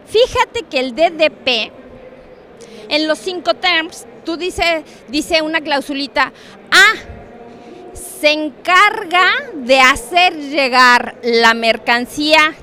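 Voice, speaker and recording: A middle-aged woman with a Mexican Spanish accent giving a conference talk on trade, animated and joking, often turning to the audience with questions. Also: high-pitched, slow pace. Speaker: conference speaker on international trade. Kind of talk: lively conference talk with props and asides. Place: conference hall.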